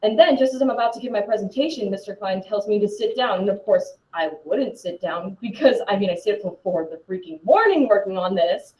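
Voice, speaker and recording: A young man playing an uptight, argumentative, studious teenage boy in a live scene, precise, defensive and excitable. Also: high-pitched, brisk, hardly any pauses. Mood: aggrieved. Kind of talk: live duo scene performance. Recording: echoey room.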